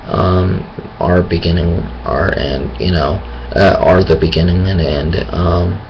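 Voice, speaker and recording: Slow, gravelly low-voiced man. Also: steady tone, highs cut off, a little hiss or hum. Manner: hesitant, thinking aloud with many pauses and restarts. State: raspy voice. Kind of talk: casual monologue on faith.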